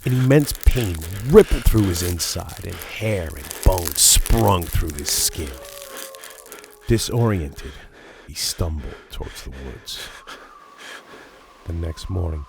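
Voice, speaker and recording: A man's voice: deep voice, takes it slowly, vocal fry. A man reading a scripted horror story as narrator, with dramatic storytelling delivery. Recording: steady background noise of rain ambience.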